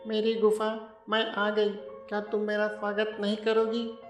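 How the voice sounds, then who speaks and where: A man putting on a high sweet-voiced sly female fox. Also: monotone, a little slow. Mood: sweetly calling. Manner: high sing-song calling. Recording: echoey with background music.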